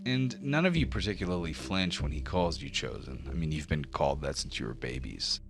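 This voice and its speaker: A man's voice: rumbling low, animated, brisk, creaky, soft background music. A man working as game master, narrating a tabletop fantasy game descriptively with casual asides.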